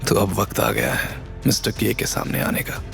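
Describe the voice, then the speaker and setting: A man voicing a secretive young man who murmurs his thoughts quietly in an audio drama. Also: on the low side, fairly even intonation, moderate pace, creaky, soft background music, pitched below the performer's own voice. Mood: musing.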